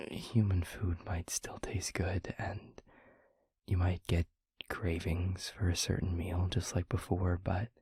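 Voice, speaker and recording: A man playing a gentle, protective vampire boyfriend in an intimate ASMR roleplay, speaking softly and reassuringly in a breathy half-whisper with hesitant pauses. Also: sits low, no room sound, clean studio sound, full character voice.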